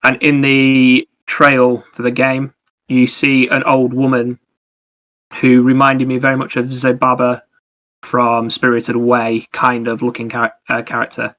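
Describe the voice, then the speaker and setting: A man's voice: mid-range voice, completely flat delivery, unhurried, fairly bright tone, muffled recording. A man co-hosting a podcast, talking casually and at length about video games in a wordy, digressive way. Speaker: podcast co-host. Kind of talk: casual video game review chat.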